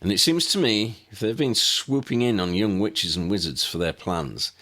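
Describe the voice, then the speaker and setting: A man's voice: fairly low pitch, animated, normal speed, sharp tone, pitched above the performer's own voice. Man doing a brash young wizard lad. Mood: blunt.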